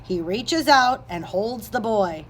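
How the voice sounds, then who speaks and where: A woman's voice: on the high side, animated, slow, a little hiss or hum. A woman narrator reading scripted stage directions aloud.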